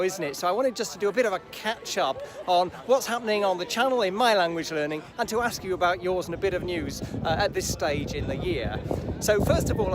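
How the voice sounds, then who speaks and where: A man giving a casual vlog update to camera outdoors at a community event in a park, chatty, with frequent fillers and restarts. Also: sits high. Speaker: language learning vlogger. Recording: outdoor recording with crowd noise. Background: crowd chatter and passing traffic.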